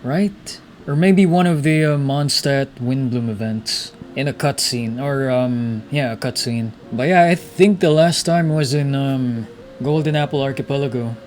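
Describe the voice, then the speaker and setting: A man's voice: mid-range voice, measured pace, a little mellow, a little hiss or hum. A man giving casual gameplay commentary and reading story text aloud as he plays. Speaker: gaming streamer.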